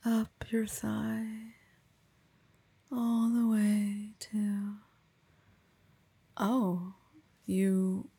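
A young woman whispers with a deeply breathy, husky texture, drawing every phrase out at a very slow, lingering pace. Her tone is teasing and intimate, with a gravelly fry at the bottom of her voice, recorded close and completely dry. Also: mellow. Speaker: audio roleplay performer. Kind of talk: intimate whispered audio roleplay.

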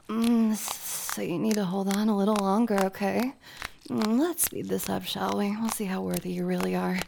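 A woman playing a dominant, teasing boss in an intimate adult audio roleplay, breathy and often dropping into whispers. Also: mid-range voice, background noise. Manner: breathy delivery with sighs and drops into whispers.

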